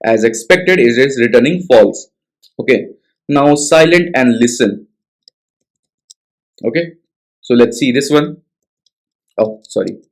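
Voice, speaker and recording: A man's voice: medium pitch, animated, takes it slowly, long pauses, low-quality recording. Indian-accented man tutoring code. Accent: Indian English. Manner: conversational, checks in with frequent tag questions. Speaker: coding tutor. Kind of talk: screen-share coding tutorial.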